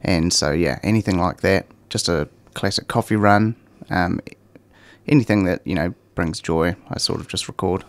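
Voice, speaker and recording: A young man with a New Zealand accent, chatting as a guest on a talk show with a relaxed manner and lots of ums. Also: deep voice, normal speed, no room sound. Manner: relaxed, many ums and fillers. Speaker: guest, cycling content creator. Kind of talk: casual interview chat.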